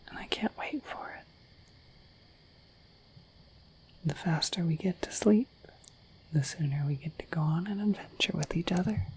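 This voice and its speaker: A woman voicing a shy, affectionate dorky dragon in an ASMR roleplay, speaking in a gentle, hesitant, breathy whisper. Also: sits low, rough recording. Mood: tender, excited. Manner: gentle, hesitant, slightly bashful, intimate.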